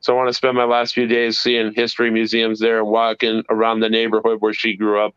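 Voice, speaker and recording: A man's voice: on the low side, completely flat delivery, brisk, a bit bright, smooth, no room sound, muffled recording. Eager rambling North Carolina man. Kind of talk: casual livestream chat.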